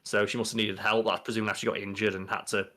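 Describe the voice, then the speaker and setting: A man's voice: fairly low pitch, level tone, quick pace, bright, forceful tone. Casual young man co-hosting a podcast. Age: young adult. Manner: sweary, informal. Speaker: podcast co-host. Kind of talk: casual podcast chat, reading out wiki entries. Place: small bedroom.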